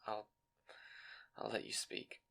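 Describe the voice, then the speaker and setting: A man acting as a timid young fantasy scribe, full of self-doubt. He speaks slowly and softly, halting and stammering as he goes, in an intimate audio roleplay style. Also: in a whisper. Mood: shy, relieved. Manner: nervous, halting, stammering, soft-spoken.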